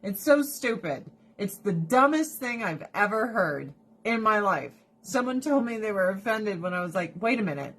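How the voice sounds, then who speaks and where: A woman talks straight to her phone camera on a live stream, close and informal. She is blunt and swears freely, keeps the energy up, and breaks into laughter often. Her voice sits at an ordinary pitch for a woman. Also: big pitch swings, normal speed, few pauses, a bit bright, rough recording. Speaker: direct sales rep live streaming. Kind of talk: casual live stream chat to viewers. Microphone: phone or camera held close.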